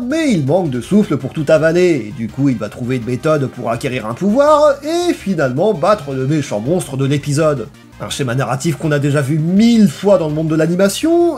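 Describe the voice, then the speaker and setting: Lively, animated narrator of a video essay. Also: mid-range voice. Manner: expressive, emphatic, colloquial delivery. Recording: voice over background music.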